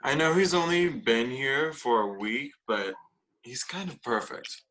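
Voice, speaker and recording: A man playing a polite, skeptical young roommate with pointed, measured sarcasm in a comedy table read. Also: medium pitch, animated, fairly bright tone, low-quality recording. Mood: pleased. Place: at home, remote table read.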